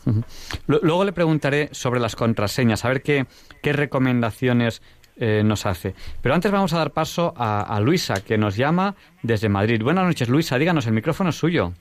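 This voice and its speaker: A man from Catalonia hosting a live call-in radio show, friendly and relaxed, with small hesitant restarts. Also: mid-range voice, moderate pace, no echo. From Catalonia, Spain. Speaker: radio host. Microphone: studio microphone.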